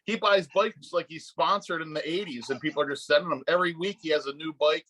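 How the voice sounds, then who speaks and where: A man co-hosting a casual podcast, cracking quick jokes and jumping in over the others. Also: on the high side, bright, forceful tone, low-quality recording. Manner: quick jokey asides, talks over others. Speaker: podcast co-host practicing as advice host.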